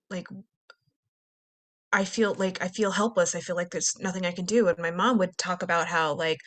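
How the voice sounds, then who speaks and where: Woman, slightly low register, candid discussion guest, sincere and searching, finds words as she goes. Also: fairly bright tone. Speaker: panelist. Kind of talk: candid personal reflection in discussion.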